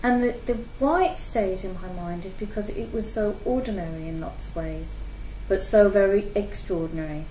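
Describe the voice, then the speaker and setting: Expressive woman in an echoey room. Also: mid-range voice, normal speed, slight background noise. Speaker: theatre-goer. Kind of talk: personal account told to camera. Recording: echoey room, mic at a distance.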